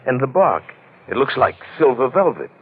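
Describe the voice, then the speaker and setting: A man playing a genteel, curious London businessman in an old-time radio drama, with expressive, old-fashioned phrasing. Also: mid-range voice, normal speed, a little hiss or hum, lightly acted. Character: John Buchan, affable curious London businessman recounting his strange tale. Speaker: narrator and lead actor. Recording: muffled vintage radio drama recording.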